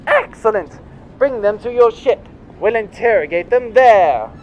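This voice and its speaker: A man's voice: sits high, animated, a little slow, lo-fi sound, faint music behind. A man playing a gleeful, commanding villain boss in a comic audio drama. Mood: triumphant.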